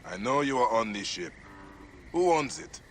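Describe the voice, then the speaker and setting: An actor playing a stern, determined warrior investigator in a video game, questioning people in a terse, no-nonsense way. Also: male voice, mid-range voice, animated, moderate pace, a little hiss or hum, lightly acted. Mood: wry, pressing. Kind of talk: video game cutscene acting. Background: music.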